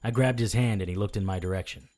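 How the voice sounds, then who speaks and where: Low-voiced, dry, battered detective played by a man. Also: quick pace, a little mellow, lightly acted. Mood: weary, fading. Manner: dry, hard-boiled. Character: battered, wry male private investigator narrating his fight. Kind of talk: first-person audio drama narration.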